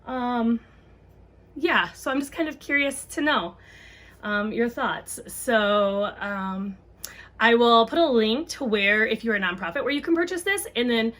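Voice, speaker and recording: A woman's voice: on the high side, expressive, measured pace, a bit bright. A woman reading a children's book aloud and chatting casually to parents, explaining things simply.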